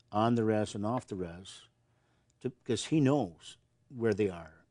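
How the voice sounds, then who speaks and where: An older man from Montana talks in a lowish, steady voice. He answers with earnest conviction and often restarts with repeated words. The sound is close and dry, with no room echo. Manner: earnest, repeats words when starting sentences. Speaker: veterans service officer. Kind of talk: interview answers.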